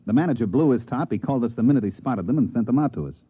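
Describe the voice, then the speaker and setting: An actor playing a wry, deadpan government investigator who narrates his case in slangy 1950s radio drama style. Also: medium pitch, rapid-fire, slightly rough, dull audio. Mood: brisk, matter-of-fact. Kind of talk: 1950s radio drama acting, first-person narration and dialogue. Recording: vintage 1950s radio recording, band-limited.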